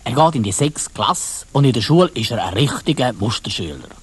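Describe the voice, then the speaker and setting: A man's voice: mid-range voice, big pitch swings, fairly quick, husky. A man with a Swiss German accent narrating a children's story in a colloquial way, addressing his listeners directly.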